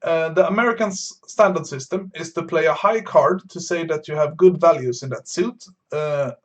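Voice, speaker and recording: A man from Sweden, streaming a bridge game and explaining his moves to beginners while thinking aloud with frequent pauses and fillers. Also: a little high. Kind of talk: live-stream commentary explaining card play.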